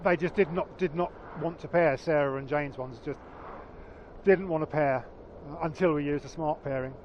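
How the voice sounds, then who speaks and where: A man with a naturally loud voice, chatting casually and haltingly on a vlog, repeating words as he explains. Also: on the high side. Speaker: motorcycle vlogger. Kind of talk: casual chat. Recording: dull lo-fi sound with faint traffic.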